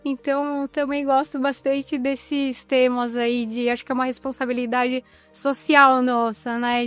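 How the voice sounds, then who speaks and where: High, smooth-voiced woman, courteous. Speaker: panel guest, executive and professor. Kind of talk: panel discussion.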